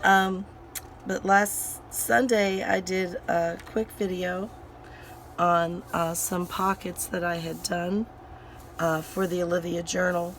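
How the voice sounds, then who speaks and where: Slow, low-voiced woman with a hoarse, recovering voice. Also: level tone, a little hiss or hum. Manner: rambling, full of fillers and restarts. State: hoarse, voice recovering from sinus infection. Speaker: craft channel host. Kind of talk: casual crafting chat while working.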